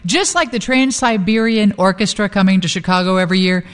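A woman's voice: mid-range voice, brisk, smooth. A woman hosting a radio show, interviewing a guest in an upbeat, conversational way. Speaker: radio host.

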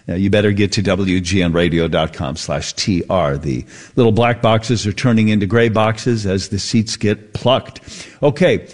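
A man hosting a radio talk show, easygoing and laughing often as he chats and plugs events. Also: on the low side.

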